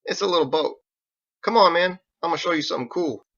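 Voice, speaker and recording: A man's voice: on the high side, fast, fairly bright tone, muffled recording, voice pushed up. A man voicing a laid-back, boastful young sailor in an audiobook, talking in casual slang. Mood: eager, casual. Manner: slangy, folksy, informal. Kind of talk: audiobook character acting.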